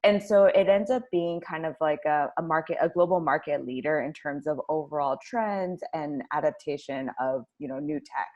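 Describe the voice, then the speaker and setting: A woman's voice: low voice, moderate pace, hardly any pauses, a little mellow, muffled recording. A woman executive answering panel questions in an enthusiastic, casual manner. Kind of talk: online panel discussion.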